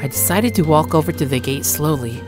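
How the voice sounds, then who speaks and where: A woman's voice: sits low, lively intonation, fairly quick, loud music behind the voice. A woman giving a scripted first-person horror story narration.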